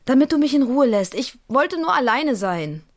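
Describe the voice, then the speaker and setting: A woman's voice: high-pitched, sing-song, moderate pace. An actress playing a volatile, wounded woman, needy and accusing, in an emotional radio drama. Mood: pleading.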